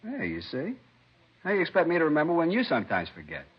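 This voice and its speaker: A man playing a sullen, evasive addict under police questioning in a 1950s radio drama, husky, slangy and defensive. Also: a little high, huge pitch swings, quick pace, full character voice. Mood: agitated, defensive. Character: defensive, evasive male drug-addict murder suspect, bitter and jittery. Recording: dull vintage radio recording.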